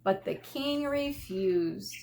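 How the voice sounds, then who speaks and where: An American woman with a fairly high voice reads a children's book aloud in the manner of an elementary teacher. She pauses to explain things simply to young listeners. There is some faint noise behind her. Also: lively intonation, darkish tone.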